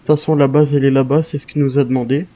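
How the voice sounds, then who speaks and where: A man's voice: medium pitch, flat delivery, racing, mellow. A man improvising in character in an online role-play game, insistently repeating short phrases. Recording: slightly dull, lo-fi game voice chat.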